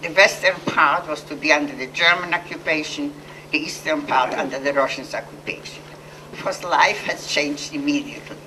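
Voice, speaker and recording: A woman's voice: low-pitched, pushed and bright, far from the mic, slight background noise, low-quality recording. Older Polish-accented woman, earnest. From Poland. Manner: earnest, halting, with restarts and self-corrections. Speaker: Holocaust survivor speaker. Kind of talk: personal testimony to an audience.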